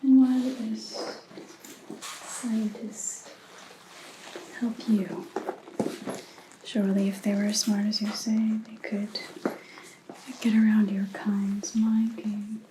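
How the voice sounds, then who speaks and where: A woman playing a menacing, scornful vampire hunter in an ASMR roleplay, whispering slowly and softly, close to the microphone, as she questions a captive. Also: medium pitch, level tone, noisy background, lightly acted. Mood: scornful, disbelieving. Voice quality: soft, breathy whisper. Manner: slow, deliberate, mocking questioning.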